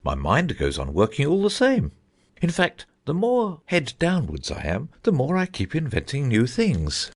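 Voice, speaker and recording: Guy putting on a rambling old knight inventor, husky, voice swooping all over. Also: unhurried, full character voice. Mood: musing. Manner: rambling, thoughtful, lively pitch swings.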